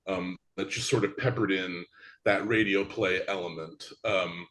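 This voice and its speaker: Man, guest in a podcast chat; lower voice, long-winded, restarts his sentences a lot. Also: fairly even intonation, measured pace, a bit bright, rough recording. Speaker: theatre creator guest. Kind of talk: casual interview chat.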